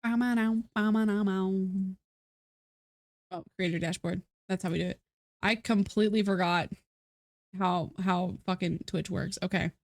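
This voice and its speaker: A woman's voice: medium pitch, fairly even intonation, unhurried, pauses often, no room sound. A woman streaming live, chatting casually to her viewers with a stuffy nose, rambling through fillers and restarts. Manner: rambling, self-mocking, many fillers and restarts.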